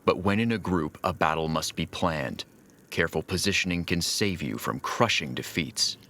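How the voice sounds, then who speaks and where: Deep, sharp-toned, solemn narrator. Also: male voice, a little hiss or hum. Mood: grave, instructive. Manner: measured, grave, instructive. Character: solemn male game narrator giving battle maxims.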